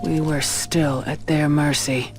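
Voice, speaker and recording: A man's voice: a little high, flat delivery, slow pace, over music. A man playing a dutiful, weary knight who narrates a war in a video game, serious and restrained. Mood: sober. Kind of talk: cinematic first-person game narration.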